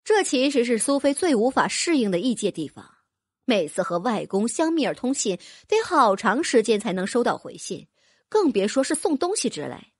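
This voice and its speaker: High, lively woman narrating a story. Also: normal speed, slightly rough, no room sound, studio quality. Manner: lively, expressive reading. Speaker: audiobook narrator. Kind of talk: scripted narration.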